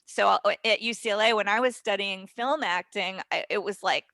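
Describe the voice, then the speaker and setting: A woman speaking in an animated, emphatic way as a guest acting teacher, answering casually in an interview. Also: medium pitch, normal speed, no echo.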